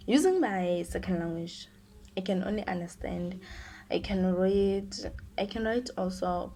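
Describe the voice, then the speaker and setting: A young woman of eighteen speaks English with a Xhosa accent, since Xhosa is her first language. Her voice is mellow and warm, and she moves steadily through a list of prepared questions. Faint background noise is audible. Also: mid-range voice. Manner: steady, answers each question in turn. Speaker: student teacher. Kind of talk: reading prepared answers to questions.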